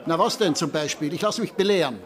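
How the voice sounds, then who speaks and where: An actor playing a reasonable but dissenting village councillor in a radio drama, precise and pointed. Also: male voice, on the high side, fast, natural voice. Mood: objecting.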